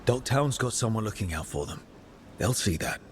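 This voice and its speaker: An actor playing an earnest, reassuring adventurer in a fantasy video game, gentle and sincere in delivery. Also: male voice, fairly low pitch, lively intonation, moderate pace, background noise, close to an everyday voice. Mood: tender, reassuring. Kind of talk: video game cutscene acting.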